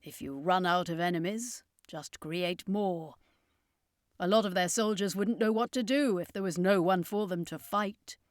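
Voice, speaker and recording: A woman voicing a proud, world-weary male circus master in a fantasy audiobook, wry and deliberate in delivery. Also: lowish voice, dry recording, clean studio sound, close to an everyday voice. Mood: grim, wry.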